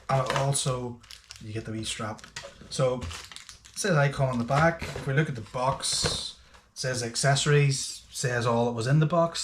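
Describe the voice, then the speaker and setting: A man's voice: medium pitch, noisy background. A man talking casually and a little hesitantly through a hands-on product review, thinking aloud as he goes. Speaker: host of a boating gadget channel.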